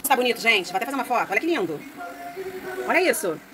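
A woman's voice: fairly high pitch, big pitch swings, rapid-fire. Chatty woman cooking at home. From Brazil. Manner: chatty, talks straight to viewers. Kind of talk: casual cooking vlog. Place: home kitchen, indoors. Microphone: phone held close. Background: food sizzling in a pan, music.

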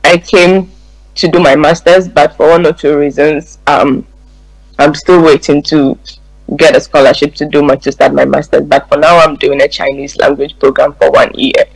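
A woman's voice: sits low, little pitch movement, normal speed, vocal fry, breathy edge, no room sound, soft background music, poor audio. A young woman from Ghana giving a hesitant testimony over a video call from a small room. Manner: hesitant, lots of fillers. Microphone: video call laptop.

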